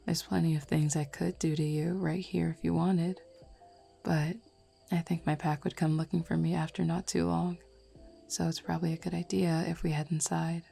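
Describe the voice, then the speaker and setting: A woman voicing a flirty, self-assured female werewolf in an intimate roleplay audio, teasing softly and without hurry. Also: deep voice, level tone, normal speed, vocal fry, breathy edge, soft background music, exaggerated voice. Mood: playful.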